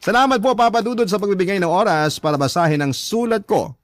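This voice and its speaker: A man's voice: high voice, quick pace, no echo, studio quality. A man with a Filipino accent, a radio host reading a listener's letter in a heartfelt, dramatic narration.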